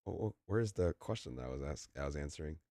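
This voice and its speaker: Deep-voiced young man streaming, fading voice. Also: expressive, fast, dark tone, dry recording, studio quality. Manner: rambling, stammering with many fillers. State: losing his voice, clearing his throat. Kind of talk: casual live-stream chat.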